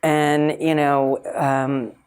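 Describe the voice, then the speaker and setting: A woman educator with a lower voice that sounds smooth and clear. She talks in a thoughtful, conversational way, leaning on "I mean" and "you know" as she works toward her point. Her delivery is easygoing and steady. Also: extremely slow. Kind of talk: casual conversation.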